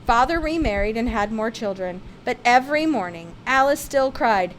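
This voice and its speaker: Female storybook reader, higher-pitched and expressive, with light background noise. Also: moderate pace, low-quality recording. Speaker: storyteller reading a picture book aloud. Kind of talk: read-aloud children's storybook narration.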